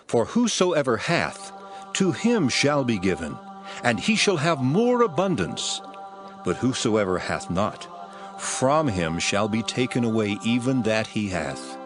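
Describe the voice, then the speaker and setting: An actor playing Jesus as an earnest preacher teaching parables in a dramatized scripture reading, solemn and declamatory, with music under the voice. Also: male voice, medium pitch, very animated. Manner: solemn, declamatory, measured phrasing. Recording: loud music bed under the voice.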